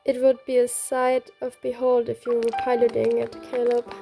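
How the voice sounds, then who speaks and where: Woman reading as young heroine, earnest, teasing, slightly high voice, romance game dialogue, soft music behind. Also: completely flat delivery, normal speed, creaky. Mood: playful. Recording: background music.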